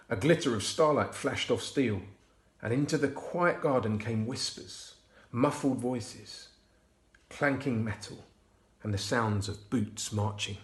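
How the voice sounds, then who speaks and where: Guy reading a kids bible story, soft and dramatic, middling voice, roomy echo. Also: mid-range voice. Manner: hushed, expressive, dropping to whispers.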